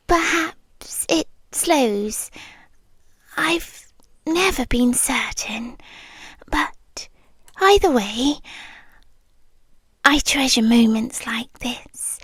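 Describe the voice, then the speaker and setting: A British woman playing a centuries-old, possessively devoted monster girlfriend in an intimate ASMR roleplay, speaking softly and often slipping into breathy whispers. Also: high-pitched, dry recording, heavily acted. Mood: wistful, tender.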